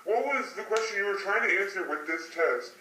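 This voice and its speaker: A man's voice: high voice, a little fast, close to an everyday voice. A man voicing an upbeat Superman who hosts an amateur puppet talk show. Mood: bright, brisk. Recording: roomy, distant microphone, faint noise.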